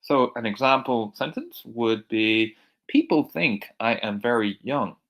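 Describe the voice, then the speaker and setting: A man from Ireland with an American-sounding accent teaching English vocabulary, repeating each word slowly and clearly for learners. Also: mid-range voice, a bit bright. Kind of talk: recorded vocabulary lesson.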